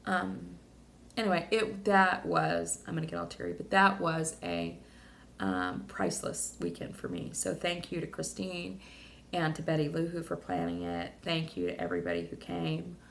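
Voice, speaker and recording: A woman sharing a rambling, emotional personal video diary, a little hoarse from talking. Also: low voice, lively intonation, moderate pace, a little hiss or hum. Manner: rambling, emotional, many fillers and restarts. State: slightly hoarse. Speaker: vlogger.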